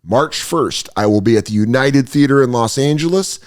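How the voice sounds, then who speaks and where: A man's voice: medium pitch, normal speed. A man hosting a casual comedy podcast, joking around and often slipping into mocking voices. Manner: animated, jokey, slips into mocking voices. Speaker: podcast host and stand-up comedian.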